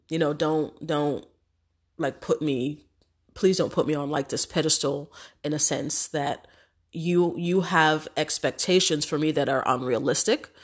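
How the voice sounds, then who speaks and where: A woman hosting a casual solo podcast, congested from a cold, chatty and affirming with many fillers. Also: deep voice. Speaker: podcast host.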